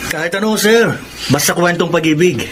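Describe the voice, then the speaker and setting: An actor playing a polite, keen shop employee in a Filipino radio drama. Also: male voice, high voice, a little fast, lightly acted. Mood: polite, eager. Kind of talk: Tagalog radio drama acting. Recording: noisy ambience behind the voice.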